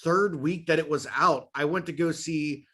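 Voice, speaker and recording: A man's voice: fairly high pitch, fairly even intonation, normal speed, fairly bright tone, husky. A man of about thirty, a guest on a podcast, chatting in an upbeat, rambling way full of "uh" and "like.". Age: 30s. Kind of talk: casual chat.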